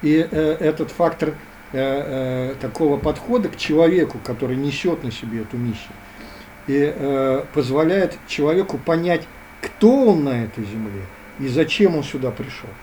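A man explains ideas earnestly, in a lecturing way that keeps returning to the same phrases. His voice is mellow and a little higher than most men's. A faint hiss sits under the recording. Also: expressive, moderate pace. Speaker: guest. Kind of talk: philosophical interview talk.

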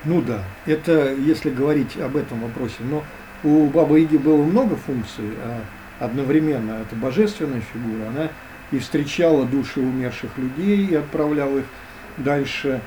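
A man talking as a guest in an interview, hesitant and full of drawn-out fillers. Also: mid-range voice, mellow, slight background noise, rough recording. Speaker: guest expert. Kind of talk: conversational interview.

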